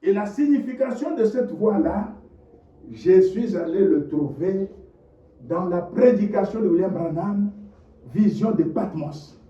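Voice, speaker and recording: Preacher guy, high lively voice, warm tone, shouts his questions, echoey church far from the mic. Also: a little slow. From DR Congo. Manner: impassioned, swinging from low murmurs to shouted rhetorical questions. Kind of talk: sermon. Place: church hall. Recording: echoey, distant, dull lo-fi church recording.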